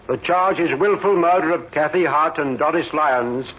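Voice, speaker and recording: An actor playing a brisk, authoritative British police inspector in an old radio drama, clipped and formal. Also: male voice, on the high side, fairly bright tone. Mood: brisk, official. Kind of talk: 1950s radio drama acting. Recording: muffled old radio recording, faint hiss.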